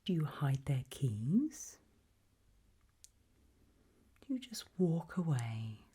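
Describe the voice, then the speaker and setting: A British woman whispering an ASMR roleplay in a breathy voice, putting slow, deliberate questions with long pauses. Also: low voice, sing-song, warm tone.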